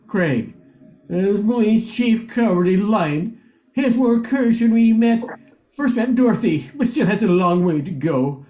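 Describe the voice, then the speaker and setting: A man's voice: high-pitched, expressive, a little slow, dark tone, soft background music. A man reading the title and cast introductions for an amateur radio play. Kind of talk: scripted radio play announcing.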